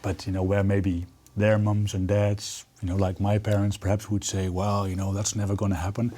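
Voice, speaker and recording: A man's voice: fairly low pitch, level tone, normal speed, mellow. A man interviewing someone conversationally, chatty and wordy, often chiming in with remarks of his own.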